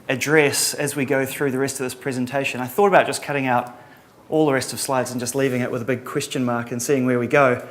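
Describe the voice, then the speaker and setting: A middle-aged man from New Zealand with a Kiwi accent, giving a relaxed conference talk with lots of ums and a dry sense of humor. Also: mid-range voice, fast, hardly any pauses. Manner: casual, many ums and uhs, dry humor. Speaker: conference presenter.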